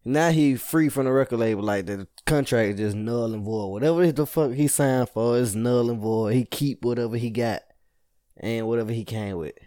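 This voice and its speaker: A man's voice: medium pitch, moderate pace, warm tone, dry recording. Laughing man in dry podcast banter. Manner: laughs a lot, loose slangy banter. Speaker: podcast co-host. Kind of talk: casual chat.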